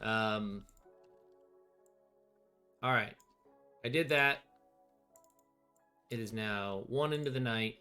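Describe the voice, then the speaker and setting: A man's voice: fairly low pitch, takes it slowly, pauses often, pushed and bright, clear, resonant tone, soft background music. A middle-aged man gives casual, wry play-through commentary on a solo tabletop game, thinking aloud as he goes. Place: small indoor room with bookshelves.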